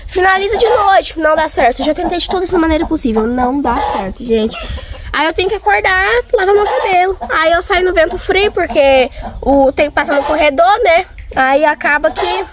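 A young woman, hoarse from a cold, chatting casually and directly to her viewers while walking around a farm outdoors. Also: very high-pitched, expressive, a little fast, background noise. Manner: chatty, talking straight to viewers. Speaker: vlogger. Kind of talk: casual vlog chat.

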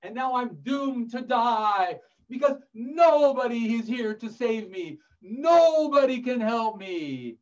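Man playing a loud, high-pitched roaring storybook lion. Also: expressive, slow pace, recorded from a distance, dull audio, exaggerated voice. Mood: despairing. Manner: dramatic, loud roaring and wailing. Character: big angry lion, roaring and despairing when trapped. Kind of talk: children's storybook telling.

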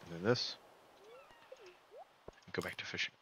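A young man giving relaxed, joking commentary while playing a game, with a soft, soothing voice and mumbled asides. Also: low-pitched, moderate pace, noisy background. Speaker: gaming streamer. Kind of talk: casual gameplay commentary.

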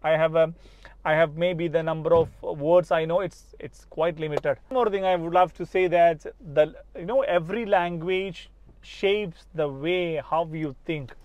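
A man's voice: a little high, unhurried, darkish tone, muffled recording. A man in his thirties from Kerala with a Malayali English accent, a spoken English coach giving an earnest talk straight to the viewer and often restarting his phrases. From Kerala, India. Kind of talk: casual motivational talk to camera. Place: inside a parked car. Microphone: lapel mic.

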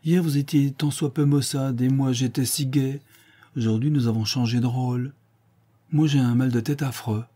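A man's voice: mid-range voice, fairly even intonation, normal speed, dark tone, pitched above the performer's own voice, close to an everyday voice. A man voicing a worldly young countess in a read-aloud novel, coquettish and lightly mocking. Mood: teasing.

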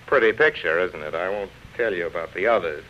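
Man playing a brooding, deep-voiced washed-up film star. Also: brisk, natural voice. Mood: brooding, wistful. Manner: theatrical, brooding, self-pitying. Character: washed-up, hard-drinking male film star, proud and bitter. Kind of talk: 1930s live radio drama acting. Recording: muffled, noisy 1930s radio broadcast.

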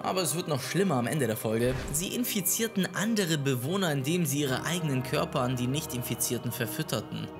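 A man, narrating a scripted countdown in a casual YouTube host style. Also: a little high. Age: 20s. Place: small room at home. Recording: music under the voice. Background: background music.

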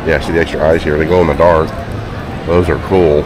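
Low-voiced man touring a busy hall. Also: level tone, a little fast. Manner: enthusiastic, chatty, plenty of fillers. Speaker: vlogger touring a convention. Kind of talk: casual walkthrough commentary. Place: large indoor convention hall. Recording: crowded hall background noise. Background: crowd chatter.

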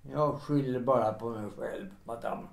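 Period radio play servant played by a man: resigned, polite, guarded, quietly bitter, with audible room echo. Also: medium pitch, steady tone, gravelly, close to an everyday voice. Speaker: actor in an audio drama. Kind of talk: period audio drama acting, 1930s setting. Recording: roomy, echoing sound.

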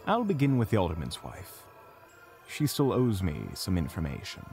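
A man playing a composed, dryly self-assured mage, explaining in a measured way in an audio drama. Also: low voice, huge pitch swings, darkish tone, exaggerated voice. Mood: dry, defensive. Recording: music playing behind. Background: background music.

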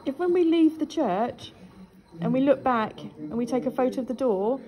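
A woman ghost hunter, coaxing a spirit in a dark church at night and repeating her questions. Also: high voice, animated, moderate pace, rough recording. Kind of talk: calling out to a spirit.

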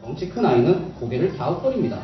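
A woman telling a fairy tale to children as an animated read-aloud narrator. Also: low voice, fast, recorded from a distance, faint music behind. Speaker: children's storyteller. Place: echoey hall at a book concert. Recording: dull, very echoey hall from afar.

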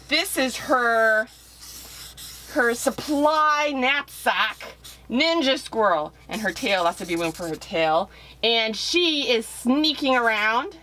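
Middle-aged woman, playful, higher-pitched voice. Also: a bit bright, poor audio. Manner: playful, encouraging, talking in bursts while drawing. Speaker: children's author-illustrator giving a drawing demonstration. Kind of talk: improvised art lesson for children, thinking aloud.

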